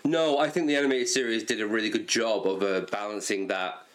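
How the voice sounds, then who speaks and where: A man's voice: lowish voice, steady tone, a little fast, a bit bright. A man co-hosting a casual podcast, talking keenly and often tripping over and repeating his words. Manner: enthusiastic, stumbles and repeats words. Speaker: podcast co-host. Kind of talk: casual chat about cartoons and comics.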